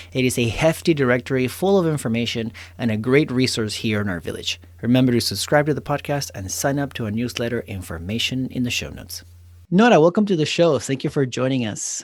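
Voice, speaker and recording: A man's voice: medium pitch, no echo. Upbeat man hosting a podcast. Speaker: podcast host. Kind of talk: podcast intro and interview.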